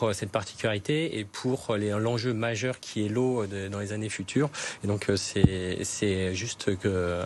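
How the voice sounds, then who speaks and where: A man's voice: on the low side, darkish tone, slight background noise. A man, a farmer, explaining his crops in an interview, earnest, with many hesitations and restarts. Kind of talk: interview answers.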